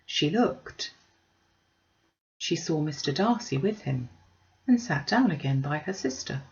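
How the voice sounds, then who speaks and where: A woman with a low-pitched voice reads a classic novel aloud as scripted narration. The recording sounds slightly dull and muffled. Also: lively intonation, measured pace. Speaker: audiobook reader.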